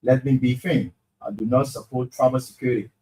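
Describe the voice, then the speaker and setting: A man, co-hosting a late-night talk show, reading a statement aloud and talking it over in a lively way, often repeating words. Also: medium pitch, a little fast, poor audio. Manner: animated, repeats words when he gets emphatic. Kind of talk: casual studio chat and reading aloud.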